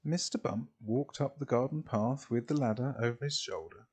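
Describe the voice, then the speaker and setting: A man reading a children's storybook expressively, in a deliberate way with drawn-out phrases. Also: medium pitch, darkish tone, breathy edge.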